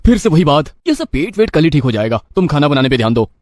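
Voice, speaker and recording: A man's voice: on the high side, very animated, quick pace, dry recording. A man playing a bossy, dismissive husband in a cartoon story, speaking brusquely. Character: dismissive, demanding husband who loves spicy food.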